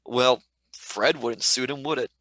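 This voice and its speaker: A man's voice: medium pitch, unhurried, fairly bright tone, no echo, close to an everyday voice. An actor playing a cheeky, skeptical young man with slangy speech in a radio play reading. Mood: wry.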